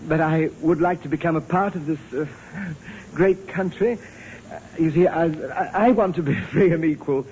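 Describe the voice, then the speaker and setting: A man's voice: fairly high pitch, darkish tone, slight background noise, lightly acted. Man playing courteous, humble manservant, muffled old film. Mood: earnest, nervous. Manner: courteous, halting, formal diction. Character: humble, earnest, deferential English manservant yearning to be free. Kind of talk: 1930s Hollywood comedy film acting.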